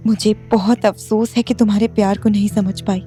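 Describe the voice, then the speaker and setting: A woman's voice: medium pitch, level tone, a little fast, lightly acted. A woman voicing a remorseful young wife. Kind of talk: Hindi audio drama acting. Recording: soft music bed underneath.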